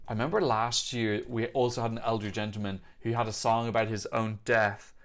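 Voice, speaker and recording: A man giving casual, off-the-cuff reaction commentary in a chatty, opinionated way, thinking aloud as he goes. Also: fairly low pitch. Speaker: host of a music reaction show. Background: songs from music videos being reviewed.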